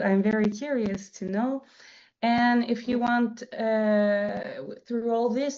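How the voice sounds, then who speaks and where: A woman host asks curious, carefully worded questions in a fairly high voice. Her audio comes through a dull video call connection.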